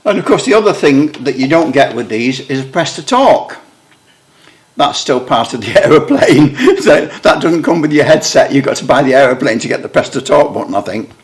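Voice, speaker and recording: British bloke rambling on about his radio gear, wry and self-deprecating, middle-pitched voice. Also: lively intonation, normal speed. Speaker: radio hobbyist video maker. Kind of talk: casual show-and-tell explanation.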